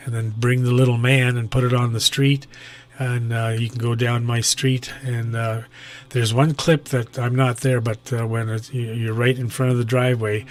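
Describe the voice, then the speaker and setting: A man in a casual ham radio chat, telling things in a hesitant, meandering way. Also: mid-range voice. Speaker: amateur radio operator.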